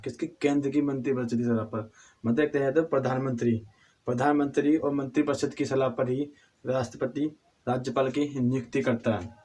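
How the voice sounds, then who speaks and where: A hoarse man with a cold teaching a civics lesson, halting and restarting often, asking questions and answering them himself. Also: mid-range voice, mellow. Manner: halting, with frequent restarts and throat clearing; addresses viewers as friends, asks and answers own questions.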